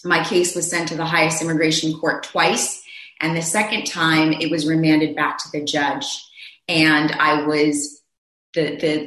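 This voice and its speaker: A man's voice: on the high side, sharp tone, echoey room, rough recording. A man speaking earnestly on a panel discussion, telling his own story, often restarting his phrases. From Zambia.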